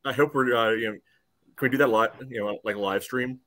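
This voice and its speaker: A man's voice: medium pitch, steady tone, a little fast, a bit bright. A man co-hosting a sports podcast, giving casual, rambling analysis with lots of filler phrases. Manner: rambling, peppered with "you know". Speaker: podcast co-host.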